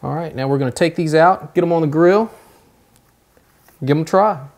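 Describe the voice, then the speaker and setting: A man in his forties hosting a home cooking show, explaining each step in a relaxed, easygoing way from his kitchen. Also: fairly high pitch, moderate pace, no echo. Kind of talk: casual cooking demonstration.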